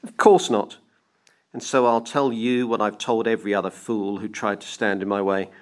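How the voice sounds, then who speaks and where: A man's voice: lowish voice, fairly even intonation, moderate pace, natural voice. A man voicing a frenzied, domineering banker in an audiobook story, with a grandiose, barking manner. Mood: imperious. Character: frenzied, domineering male banker obsessed with defeating time. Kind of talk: dramatised audiobook reading.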